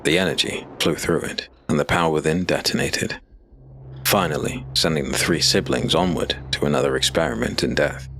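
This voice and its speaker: A man narrating a dark fantasy audio drama in measured, dramatic storytelling. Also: extremely low pitch, vocal fry, slight background noise.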